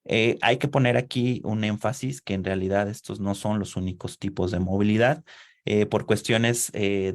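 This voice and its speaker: A man giving an academic research presentation at an online seminar, speaking haltingly with frequent filler sounds and restarts. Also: low-pitched, a little mellow. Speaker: conference presenter.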